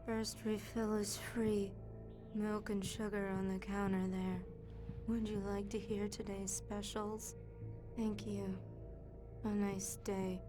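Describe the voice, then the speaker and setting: A woman playing a vacant, polite diner waitress in a video game, reciting stock greetings flatly. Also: medium pitch, slow pace, lightly acted. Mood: vacant politeness. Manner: flat, recited. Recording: loud music over the voice. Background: loud music.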